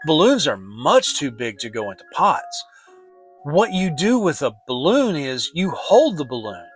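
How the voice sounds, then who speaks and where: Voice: medium pitch, sing-song, a little slow, faint music behind. A man voicing a cheerful, kindly, simple-hearted bear with eager delivery in a children's storybook reading. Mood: earnest.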